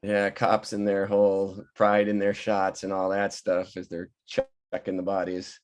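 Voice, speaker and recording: A man's voice: deep voice, completely flat delivery, unhurried, darkish tone. A man speaking as a playwright in a casual, informal discussion over a video call. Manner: informal, laughs often. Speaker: playwright taking part in a table read. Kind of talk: casual discussion, explaining the work. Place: virtual table read over video call.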